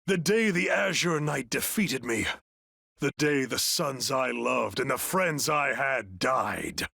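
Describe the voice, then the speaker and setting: Slow, menacing villain, echoing. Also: male voice, medium pitch, expressive, gravelly, pushed and bright, exaggerated voice. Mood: gloating. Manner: menacing, gloating, deliberate. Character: treacherous older male mentor turned dark villain. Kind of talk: dramatic video game voice acting.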